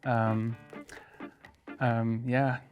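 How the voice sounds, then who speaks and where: A man's voice: mid-range voice, flat delivery, extremely slow, clean tone, lo-fi sound, soft background music. A man from Liverpool with a muddled Scouse accent, talking casually in a group. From Liverpool, England.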